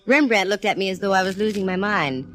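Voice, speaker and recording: An actress playing a wisecracking private detective who also narrates, in a brisk 1940s radio drama. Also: female voice, medium pitch, faint music behind, close to an everyday voice.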